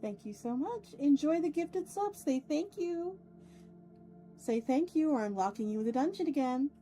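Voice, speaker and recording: A woman's voice: high-pitched, animated, moderate pace, mellow, faint music behind. A woman hosting a casual livestream, chatting with viewers in an effusive, playful way with theatrical turns of phrase. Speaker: VTuber livestream host.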